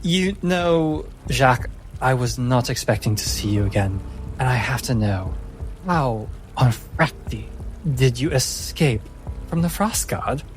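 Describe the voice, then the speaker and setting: A man's voice: mid-range voice, lively intonation, unhurried, soft background music, close to an everyday voice. A man voicing a menacing lionkin woman who leads a gang, theatrical, mocking and falsely polite, in a tabletop game. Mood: mocking, cold.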